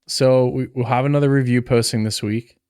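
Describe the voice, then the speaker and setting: A man's voice: medium pitch, fairly even intonation, a little fast, darkish tone, clean tone, no room sound, studio quality. A man co-hosting a podcast, chatting casually and rambling with plenty of fillers. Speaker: podcast co-host. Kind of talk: casual chat.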